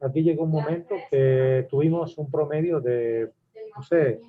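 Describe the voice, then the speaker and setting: A man with a Venezuelan accent speaks up in an online Q&A, halting often with fillers as he explains. His voice sits a little high. The audio is thin and tinny, as over a phone line. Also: a crowd in the background. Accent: Venezuelan Spanish. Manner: hesitant, many fillers and restarts. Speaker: webinar attendee asking a question. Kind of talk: casual comment in a Q&A.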